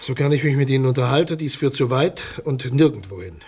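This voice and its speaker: Husky, guarded prosecutor. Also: male voice, medium pitch, expressive, a little mellow. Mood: defensive. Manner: formal, measured, officious. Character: guarded, formal male public prosecutor, dismissive and sceptical. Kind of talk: radio crime drama dialogue.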